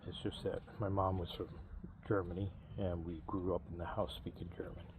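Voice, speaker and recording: A man in his fifties speaks in a low, unhurried voice, chatting to camera with frequent pauses, fillers and restarts. Faint background noise sits under the recording. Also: flat delivery. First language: English. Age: 50s. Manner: halting, many fillers and restarts. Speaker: vlogger. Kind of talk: casual personal talk to camera.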